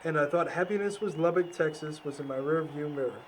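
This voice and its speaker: A man's voice: on the high side, fairly quick, natural voice, low-quality recording. A man acting a shy, earnest young newcomer in an amateur audio drama, speaking politely and hesitantly over background noise. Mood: sheepish. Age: young adult.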